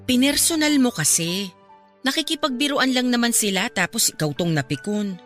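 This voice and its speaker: A woman's voice: a little high, animated, moderate pace, a bit bright, soft background music. An actress playing a caring but frank young woman chiding her ex in a radio drama.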